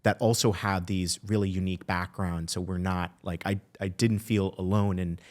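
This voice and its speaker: A man from Miami hosts a podcast interview, relating to his guest before moving on with a measured question. His voice is very deep and low-set. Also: steady tone. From Miami, USA. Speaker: podcast host. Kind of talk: interview conversation.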